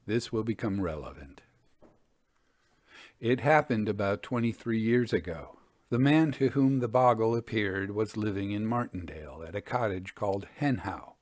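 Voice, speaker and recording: A man narrating scripted stories as a storyteller and host, with a measured, formal delivery. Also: on the low side, lively intonation, darkish tone, dry recording, clean studio sound. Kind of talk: scripted narration of old ghost stories with commentary.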